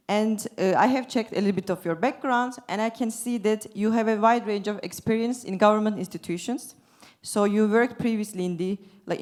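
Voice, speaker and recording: A woman's voice: mid-range voice, a little mellow. A woman from Turkey moderating a panel, speaking casually with many filler words. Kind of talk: panel discussion.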